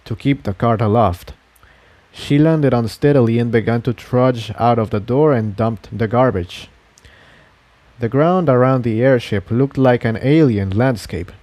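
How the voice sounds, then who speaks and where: A man's voice: medium pitch, darkish tone, dry recording. A man reading a scripted adventure story aloud as its narrator. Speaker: fan fiction narrator.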